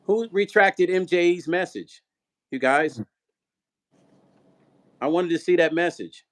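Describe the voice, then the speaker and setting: A middle-aged man hosts a casual livestream, reading comments and calling on viewers again and again. His voice sits a bit high for a man. He sounds upbeat and chatty. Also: slow pace. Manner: upbeat, urging viewers, repeating phrases. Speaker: livestream host.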